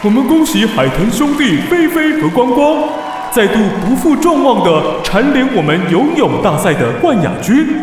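A man playing a proud, rousing school sports announcer at an undersea meet in a children's audio drama, in an echoey hall. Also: very high-pitched. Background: crowd and faint ambience.

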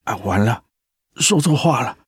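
An actor playing a patient, devoted husband in a radio drama, with a Taiwanese accent and a sighing, placating, hesitant manner. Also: male voice, medium pitch, expressive, normal speed, husky, dry recording, studio quality. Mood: flustered, concerned.

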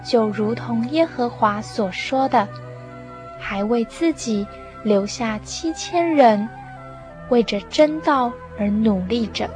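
A woman narrating a radio drama with measured, expressive scripted storytelling. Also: on the high side. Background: music.

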